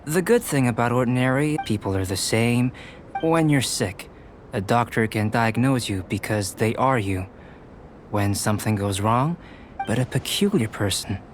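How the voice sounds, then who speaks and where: A man playing a young, scholarly alchemist in a video game, speaking in a measured, polite, analytical and detached way. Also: lowish voice, noisy background, lightly acted. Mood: reflective.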